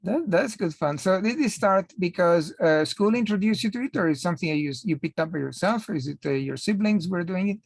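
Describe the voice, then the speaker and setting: Gravelly middle-aged guy hosting an interview, polite, hesitant, dry close sound. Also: high voice, expressive, a little fast, hardly any pauses, dry recording. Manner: polite, precise, with frequent hesitations. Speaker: interviewer and host. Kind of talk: interview, scripted intro.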